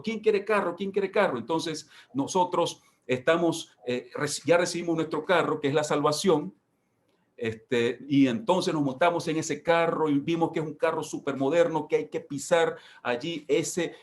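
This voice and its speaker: A man teaching as a pastor in an informal sermon style, emphatic and given to repeating key phrases. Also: mid-range voice. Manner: repetitive, emphatic, with rhetorical repetition. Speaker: pastor leading a church workshop.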